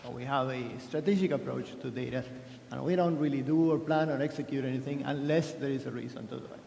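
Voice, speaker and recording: Man, gravelly voice, chatty conference keynote, big echoey hall. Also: mid-range voice, quick pace, warm tone, slight background noise. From Argentina. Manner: chatty, self-deprecating, many restarts and fillers. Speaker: conference speaker. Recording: echoey hall with long reverb.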